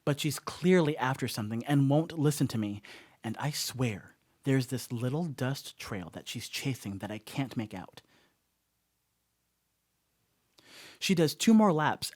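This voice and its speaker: A rattled stoner dog sitter, played by a man in a radio play monologue. His mid-range voice rambles conversationally through a strange mishap, animated and full of wry asides. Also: mid-range voice, close to an everyday voice. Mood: tense, wry.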